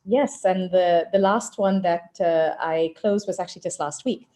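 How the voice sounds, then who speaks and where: Woman from southern Africa, investor on a call; low, mellow voice; measured delivery. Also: fairly even intonation. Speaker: angel investor on a pitch panel. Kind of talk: self-introduction on an online panel.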